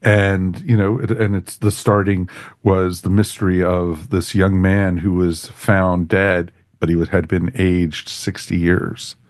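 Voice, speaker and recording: A man's voice: deep voice, level tone, unhurried, no echo, studio quality. A man hosting a podcast, giving a casual review in a rambling way that often circles back on phrases. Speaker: podcast host.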